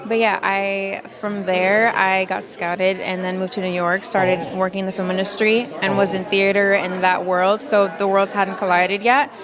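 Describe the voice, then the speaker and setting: A woman's voice: medium pitch, little pitch movement, normal speed, few pauses. A woman from Jamaica, an actress, reflecting fluently on her life in an interview. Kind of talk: interview answers. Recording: crowd chatter behind. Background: crowd chatter.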